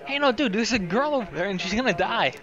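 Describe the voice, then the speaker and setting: A man's voice: high voice, animated, fast, dull audio, over a noisy crowd. A man improvising in-character roleplay over a video game, casual, hesitant and stammering. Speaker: gamer roleplaying the player character.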